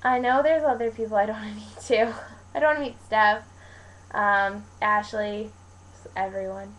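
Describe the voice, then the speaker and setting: A young woman from Canada speaks in a medium-pitched voice. She chats casually as a vlogger, answering viewer questions in loose, colloquial, wandering sentences. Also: steady tone, measured pace, a bit bright. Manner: rambling, informal, colloquial with frequent "like". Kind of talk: casual chat to camera, Q&A vlog.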